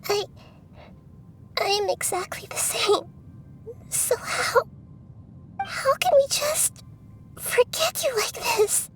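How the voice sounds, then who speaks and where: Very high, childlike little goddess. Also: female voice, animated, a bit bright, a little hiss or hum, heavily acted. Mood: tearful, pleading. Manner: hesitant, halting, emotional. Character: small childlike young goddess, tender and earnest. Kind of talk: scripted dramatic game voice acting. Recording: music behind the voice.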